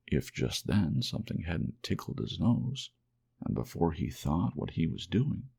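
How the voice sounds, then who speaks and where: Deep husky guy doing asmr story narration, soft, really crackly voice. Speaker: audiobook narrator.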